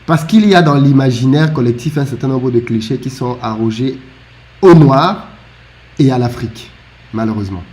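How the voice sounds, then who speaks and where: A man with a mid-pitched voice speaks reflectively and agreeably in a relaxed discussion, laughing lightly from time to time. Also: normal speed. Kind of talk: conversational discussion.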